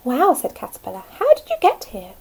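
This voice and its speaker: A woman's voice: a little high, wildly swooping pitch, fairly quick, vocal fry, dark tone, a little hiss or hum, full character voice. A woman voicing a wistful, hungry little male caterpillar in a children's storybook. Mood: wistful wonder. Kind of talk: children's storybook read aloud.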